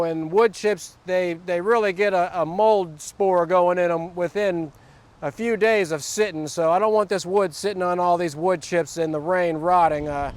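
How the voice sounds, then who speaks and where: A fairly high-voiced man with a Southern US accent talks to camera outdoors in a windy wood lot. He rambles and restarts often, and his sore throat leaves his voice a little rough. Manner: rambling, many uhs and restarts. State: sore throat, voice not back. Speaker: vlogger. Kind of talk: casual outdoor vlog.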